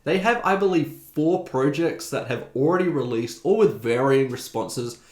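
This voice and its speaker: A man's voice: medium pitch, animated, normal speed, a little mellow. A man hosting a video essay, giving opinionated, conversational commentary. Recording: roomy, echoing voice recording.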